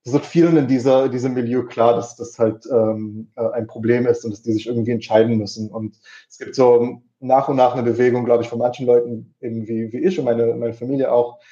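A man explains his views in a loose, unscripted conversation, pausing often and circling back over his words. His voice is mellow and dark. The sound is dull and lo-fi. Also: medium pitch, level tone. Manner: hesitant, many fillers and restarts. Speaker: guest. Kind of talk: casual political discussion.

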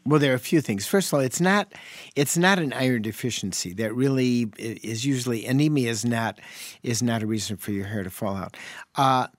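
A man's voice: medium pitch, fairly quick, no echo. A man on a radio health call-in show, explaining things in an easygoing way and often restarting sentences.

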